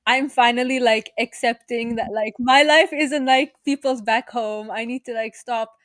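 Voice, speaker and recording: A woman's voice: on the high side, a bit bright, dry recording. An eighteen-year-old woman from South Africa co-hosting a podcast, chatting casually with lots of "like". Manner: chatty, lots of "like", quick laughs. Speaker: podcast co-host. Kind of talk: casual chat.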